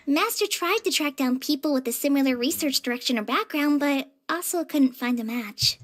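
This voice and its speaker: A woman's voice: sits high, moderate pace, fairly bright tone. A woman voicing an earnest, polite, slightly shy teenage girl apprentice in a video game, with an eager manner. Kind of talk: game voice acting.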